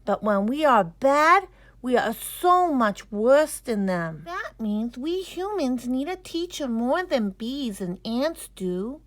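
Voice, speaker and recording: An earnest little boy from a storybook, acted out by a woman, curious and full of wonder. The voice is raised high and swings widely as he puts his points eagerly and emphatically, in a very dry, close sound. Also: sing-song, slow, dry recording, full character voice. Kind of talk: children's storybook reading.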